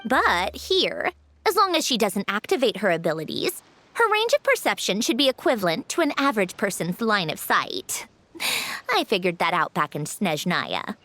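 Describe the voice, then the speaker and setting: Game role: calm, dry-witted woman, lively pitch, soft music under. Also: normal speed, dry recording. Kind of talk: video game voice acting.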